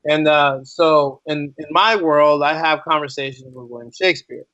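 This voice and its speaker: Male podcast guest, lightly high voice, conversational, doubles back on phrases, muffled lo-fi sound. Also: normal speed, bright, forceful tone, dry recording. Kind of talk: casual interview chat.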